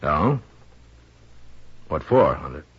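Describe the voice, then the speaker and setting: An American man playing a calm, courteous, steady lawman in a 1950s radio western, with an easygoing, laconic delivery. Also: very deep voice, slow pace, slightly rough, heavily acted. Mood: calm, affable. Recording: noisy, muffled vintage radio recording.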